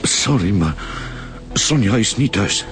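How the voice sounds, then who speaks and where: A man's voice: on the low side, animated, takes it slowly, music in the background. A man with a Flemish accent playing an uneasy, evasive husband in a radio drama, speaking hesitantly.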